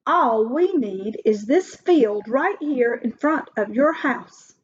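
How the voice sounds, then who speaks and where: A woman voicing a clever, eager male hare in a storybook, with a persuasive, animated manner. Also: fairly high pitch, slow, slightly rough, exaggerated voice. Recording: dull lo-fi recording.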